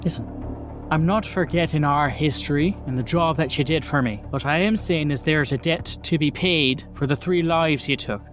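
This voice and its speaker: A man's voice: on the high side, normal speed, a bit bright, soft background music, close to an everyday voice. Man playing a blunt, menacing mob boss. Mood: irritated, confrontational. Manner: blunt, bargaining, commanding. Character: gruff, menacing male mob boss, foul-mouthed, businesslike.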